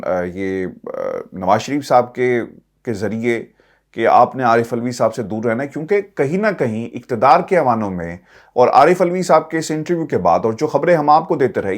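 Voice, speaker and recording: Male political commentator, Pakistani accent, mid-range voice. Addresses viewers directly, leans on points with emphasis and repetition. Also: medium pitch.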